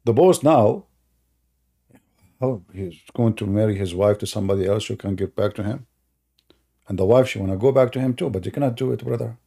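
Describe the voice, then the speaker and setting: Man, mellow voice. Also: fairly low pitch, a little fast, vocal fry, pauses often, husky. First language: Arabic. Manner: loose, talky storytelling with non-native grammar and frequent fillers. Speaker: commentator. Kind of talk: casual commentary while showing a video on screen.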